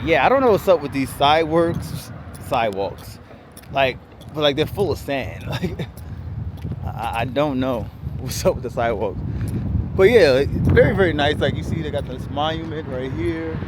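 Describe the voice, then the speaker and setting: A male travel vlogger talks as he walks through town, his voice sitting a little high for a man. He rambles in a loose, chatty way, full of "like" and "um". Street noise and passing traffic come through clearly on his handheld recording. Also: lively intonation, normal speed. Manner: rambling, chatty, many "like" and "um" fillers. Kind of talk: casual walking travel vlog. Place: outdoors on quiet city streets, walking.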